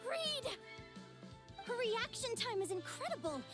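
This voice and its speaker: A woman playing a plucky, earnest young creature trainer in an animated video game style. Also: very high-pitched, a little slow, loud music behind the voice, exaggerated voice. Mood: urgent, excited.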